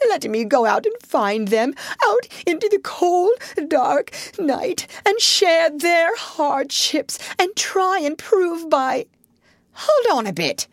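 A vain, melodramatic male toad from a storybook, acted out by a woman. The voice rides high and swings widely, effusive and full of self-pity. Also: fairly bright tone, no room sound, clean studio sound, exaggerated voice. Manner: theatrical, effusive, self-pitying.

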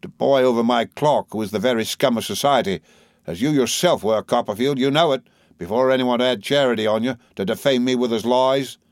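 A man's voice: medium pitch, fairly quick, no room sound, clean studio sound, pitched above the performer's own voice, close to an everyday voice. A man voicing a spiteful, scheming clerk in an audiobook, sneering and threatening with false familiarity.

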